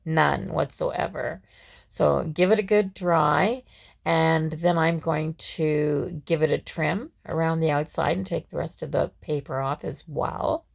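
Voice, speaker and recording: Hoarse woman, low and raspy, chatty home craft tutor. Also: fairly even intonation, takes it slowly. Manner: chatty, with frequent fillers and restarts. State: scratchy, hoarse voice. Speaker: craft vlogger. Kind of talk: casual step-by-step craft tutorial.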